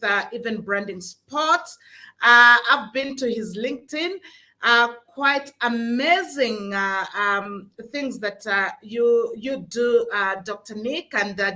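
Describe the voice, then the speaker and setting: A woman hosting an interview podcast, speaking hesitantly with many pauses and filler sounds. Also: a little high, pushed and bright. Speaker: podcast host.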